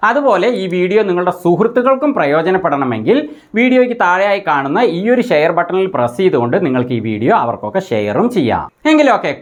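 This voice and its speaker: Man narrating a step-by-step tutorial. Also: high voice. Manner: methodical, explanatory. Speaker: tech tutorial presenter.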